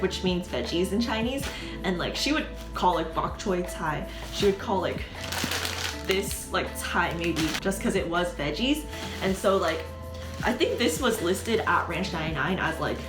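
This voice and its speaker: A chatty young woman vlogging, her slightly low voice rambling along with plenty of filler words. The room rings around her, and music plays clearly underneath. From San Francisco Bay Area. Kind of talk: casual food haul vlog. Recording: roomy sound with background music.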